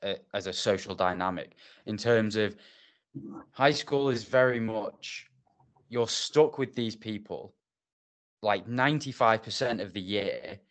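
A man hosting a podcast interview, reflecting in a relaxed way and asking follow-up questions. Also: lowish voice, a little slow. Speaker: podcast host. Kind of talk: casual interview.

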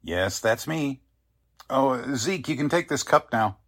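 A man's voice: mid-range voice, animated, slow, fairly bright tone, exaggerated voice. A man playing a courteous, self-assured small-town doctor in 1940s radio drama style, genial and a little patronising.

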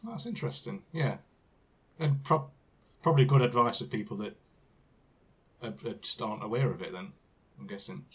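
A man's voice: mid-range voice, animated, moderate pace, pauses often, slightly rough. A British man hosting a casual podcast interview, hesitant, often repeating words and laughing. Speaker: podcast host.

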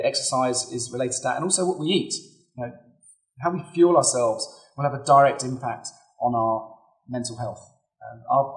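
A man in his thirties, slightly hoarse from a cold, giving earnest, advisory solo podcast talk as host and coach and thinking aloud as he goes. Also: mid-range voice, poor audio.

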